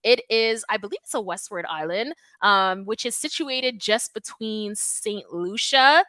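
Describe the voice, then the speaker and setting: A woman from Toronto hosting a live stream, answering viewer questions in an emphatic, animated, conversational way. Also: medium pitch, a little slow, dry recording. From Toronto, Canada. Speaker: natural hair YouTube host.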